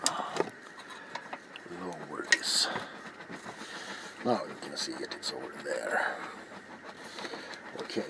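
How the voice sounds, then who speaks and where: A middle-aged man narrating a craft in a soft-spoken, hesitant ASMR style that slips into whispers. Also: lowish voice. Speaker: ASMR video maker. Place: small cluttered indoor home workroom. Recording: clear background room noise.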